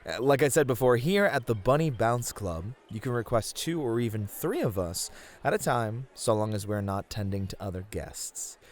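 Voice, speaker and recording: A man voices a bashful male bunny host for a flirty audio roleplay. The voice is medium in pitch with lively swings. He sounds easily flustered and a little hesitant, protesting in a playful way. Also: normal speed, a little hiss or hum, close to an everyday voice. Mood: friendly, inviting. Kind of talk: flirty ASMR roleplay acting.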